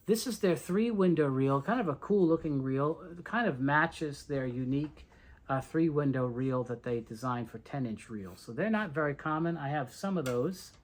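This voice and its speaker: A collector talks through a collection in an informal show-and-tell style. The voice sits at a medium pitch. The delivery is conversational and explanatory, moving from one item to the next. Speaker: collector presenting a tape reel collection.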